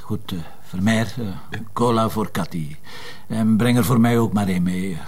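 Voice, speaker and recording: An actor playing a gruff, impatient, sarcastic but considerate Flemish police commissioner in a naturalistic TV drama. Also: male voice, on the low side, normal speed, a little mellow. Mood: patient, weary.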